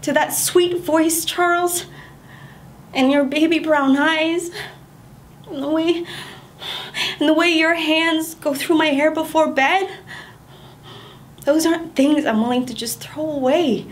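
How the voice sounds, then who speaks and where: A woman's voice: high voice, steady tone, slow pace, long pauses, a little hiss or hum. A woman performing a stage monologue as a young wife who pleads with her husband not to divorce her, laughing through near tears and rambling. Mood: frantic, laughing.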